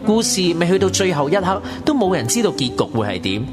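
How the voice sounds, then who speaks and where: A man's voice: high-pitched, big pitch swings, fast, over loud music. A man playing a wry, slangy young narrator in a Cantonese radio drama. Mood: wry narrating. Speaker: narrator and character.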